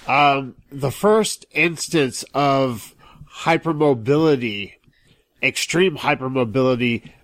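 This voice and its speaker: Slow, measured man in his thirties. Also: medium pitch, fairly bright tone, no echo. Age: 30s. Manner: measured, explains with pauses and "uh" fillers. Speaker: podcast guest. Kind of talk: casual explanation.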